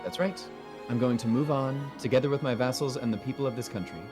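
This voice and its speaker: A man voicing a young queen in game dialogue, gentle yet resolute, speaking formally and earnestly. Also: mid-range voice, fast, over music, lightly acted. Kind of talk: game dialogue read aloud in a fan dub.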